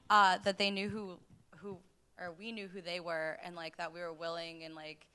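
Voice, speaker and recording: A woman's voice: fairly low pitch, little pitch movement, normal speed, bright, forceful tone, smooth. A young woman student rambling at a panel with many fillers. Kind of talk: panel discussion.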